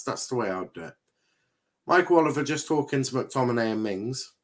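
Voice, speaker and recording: A young English man streaming a match watchalong, chatting casually, rambling and swearing as he reacts to the play. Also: mid-range voice. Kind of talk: casual live match commentary.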